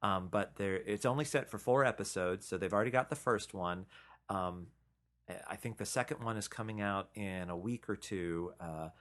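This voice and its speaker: A man's voice: fairly low pitch, normal speed, fairly bright tone. A man co-hosting a podcast in casual chat, enthusiastic and rambling as he talks. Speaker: podcast co-host.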